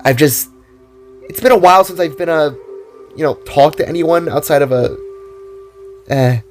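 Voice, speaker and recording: A man voicing a goofy, excitable, chatty young girl adventurer with playful, rambling speech in an audio drama. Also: on the high side, normal speed, faint music behind, voice pushed up, lightly acted. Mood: embarrassed. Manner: playful, sarcastic, rambling with false starts.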